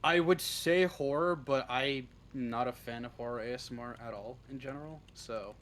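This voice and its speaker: A man's voice: mid-range voice, normal speed, fairly bright tone. Blunt, informal man with a mid-range voice. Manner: informal, blunt, swears freely. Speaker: interview guest, script writer. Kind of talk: casual interview chat. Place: live online voice stream.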